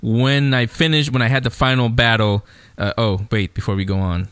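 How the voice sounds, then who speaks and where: A man's voice: mid-range voice, steady tone, moderate pace, a bit bright, clear, resonant tone, no room sound. A man hosting a radio show in casual, chatty conversation.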